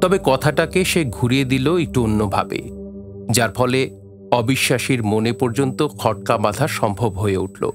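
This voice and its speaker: A man's voice: mid-range voice, normal speed. A man narrating a scripted dramatic story as the narrator of an audio play. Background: faint music.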